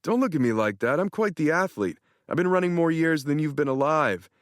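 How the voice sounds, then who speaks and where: A man's voice: a little high, expressive, a little fast, no room sound, clean studio sound, pitched above the performer's own voice, close to an everyday voice. A man voicing a boastful, eccentric older man who talks with brash self-assurance.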